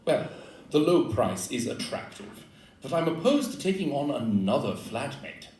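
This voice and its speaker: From a little way off in an echoing room, a man acts a Victorian gentleman detective in an audio play. His mid-pitched voice moves with lively inflection, yet his manner stays precise, formal and dry. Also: moderate pace, lightly acted. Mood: dry, unimpressed. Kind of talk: audio-play acting before an audience. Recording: roomy, distant live pickup.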